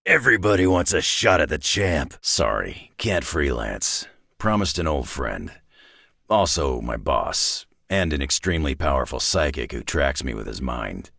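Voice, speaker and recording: An actor playing a gruff, battle-hardened older male superhero in a video game, with a raspy growl and a sardonic, terse tough-guy delivery. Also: extremely low pitch, very animated, unhurried, sharp tone, dry recording, clean studio sound, full character voice. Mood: gruff, reflective. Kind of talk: video game character voice lines.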